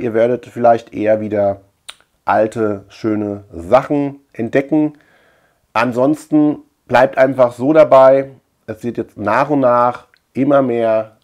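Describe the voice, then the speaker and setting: Rambling, colloquial man in his thirties. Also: medium pitch. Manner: rambling, colloquial, animated emphasis with repetition. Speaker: video host, collector. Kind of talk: casual chatty vlog. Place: small room at home lined with shelves.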